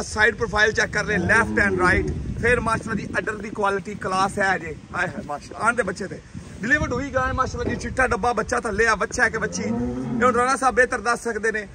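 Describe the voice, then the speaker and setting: A young man with a Pakistani Punjabi accent hosting a livestock sales video, chatting in a lively salesman patter at an outdoor cattle shed. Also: high voice. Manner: chatty salesman patter, repeating stock phrases. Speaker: vlogger showing dairy cattle.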